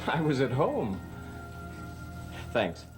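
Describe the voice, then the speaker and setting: A dry-witted young newcomer, middle pitch, old soap track drowned in music. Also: male voice, huge pitch swings, drawn-out words, exaggerated voice. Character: young man, puzzled newcomer at a mansion. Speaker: lead character. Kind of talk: 1960s TV soap acting. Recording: old TV soundtrack with loud music.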